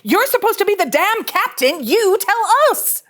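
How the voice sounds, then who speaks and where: A woman's voice: very high voice, big pitch swings, moderate pace. A woman playing a brash starship officer with a blunt, sarcastic manner, in a sci-fi table read. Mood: defiant.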